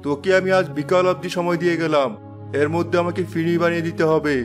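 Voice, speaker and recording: Guy acting a bossy royal court man, highish voice, formal cartoon drama, music going behind. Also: steady tone, fast talker, close to an everyday voice. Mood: threatening. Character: imperious king and his courtly royal messengers.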